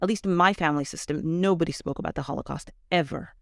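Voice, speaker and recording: A woman's voice: sits low, fast talker, vocal fry, dry recording. Middle-aged North American woman, dry sound. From Canada. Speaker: interview guest, education advocate. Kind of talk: conversational personal account.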